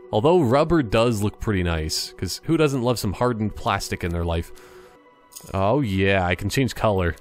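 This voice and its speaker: Low-voiced man doing playful game commentary. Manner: playful, rambling, self-mocking asides. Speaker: gameplay commentator. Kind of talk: casual gameplay commentary, reading on-screen text aloud. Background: game music.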